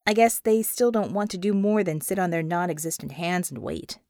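A woman's voice: fairly low pitch, normal speed, a little mellow, no room sound, natural voice. A woman voicing a practical, kindly but skeptical female comrade in an audiobook, with dry humor. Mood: dryly caring.

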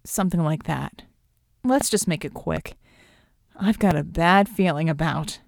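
A woman's voice: low-pitched, a little slow, vocal fry, darkish tone, dry recording, studio quality, pitched below the performer's own voice. A woman voicing an anxious, reluctant male hero who speaks hesitantly, pleading and downbeat, in an audiobook adventure. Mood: uneasy.

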